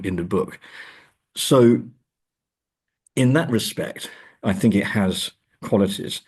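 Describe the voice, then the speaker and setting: A man's voice: sits low, darkish tone, husky. A man speaking as an academic guest in an interview, discussing in a conversational, searching way and stressing key words. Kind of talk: conversational literary and film discussion.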